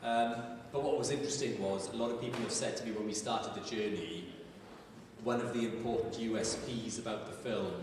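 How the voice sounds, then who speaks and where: Man, lowish voice, thoughtful filmmaker at a Q&A, echoey hall, mic at a distance, room noise. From Wales. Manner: thoughtful, searching for words. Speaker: filmmaker answering audience questions. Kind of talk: live Q&A answers.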